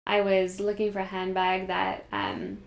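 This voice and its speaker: A woman's voice: mid-range voice, very animated, measured pace, creaky, reverberant. A young woman from Kenya, a company founder, telling her story in an earnest interview with frequent ums. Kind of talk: conversational interview. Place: meditation studio.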